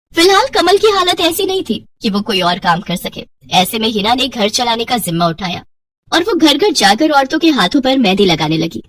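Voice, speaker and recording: A woman's voice: on the high side, far from the mic, slight background noise. A woman narrating a scripted moral story as a storyteller.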